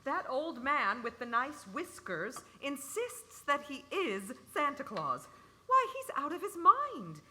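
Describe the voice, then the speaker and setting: Bright, urgent career woman. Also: high-pitched, very animated, slow pace, slight background noise, exaggerated voice. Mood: alarmed. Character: harried, practical female department store executive. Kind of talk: live 1940s-style radio play acting.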